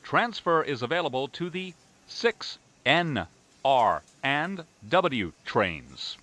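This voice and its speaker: A man reading scripted transit announcements and safety reminders in a deliberate, instructive manner. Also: on the high side, big pitch swings, bright, forceful tone. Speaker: subway train announcer.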